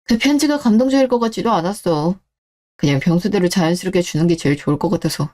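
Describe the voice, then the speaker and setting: A woman playing a devoted, plain-spoken young boyfriend in a radio drama, with a gentle, matter-of-fact manner. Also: lowish voice, fast talker, natural voice. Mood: sheepish. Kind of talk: Korean radio drama dialogue.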